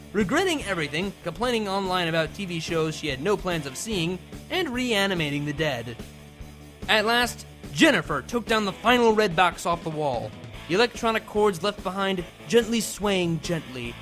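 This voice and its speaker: A man's voice: high voice, a bit bright. A man reading comedic fan fiction aloud as a host, with a theatrical, mock-dramatic delivery. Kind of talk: animated comedic story narration.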